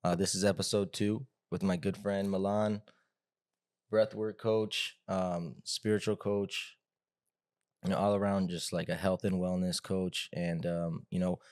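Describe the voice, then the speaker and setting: A man's voice: deep voice, level tone, unhurried, a little mellow, no echo, studio quality. A man hosting a podcast in a casual, rambling way, padding his talk with fillers. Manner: rambling, hedging with frequent "like" and "you know". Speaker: podcast host, interviewer. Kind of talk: casual chat.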